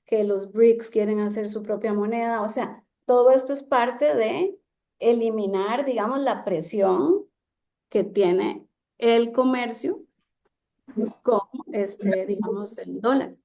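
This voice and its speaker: Gravelly, mellow-voiced woman lecturer. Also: a little high, slow pace. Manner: hesitant, many fillers and restarts. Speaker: guest speaker on trade. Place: seated, online talk.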